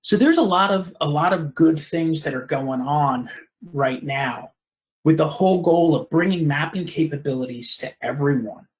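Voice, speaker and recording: A man with a slightly high voice gives a software presentation as a webinar speaker. He explains things step by step in a measured manner, pausing briefly as he goes.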